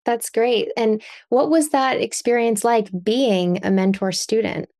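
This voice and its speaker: A woman's voice: fairly high pitch, a little slow, darkish tone. A woman hosting a podcast interview, reading an introduction and asking questions in an upbeat, admiring way. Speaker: podcast host.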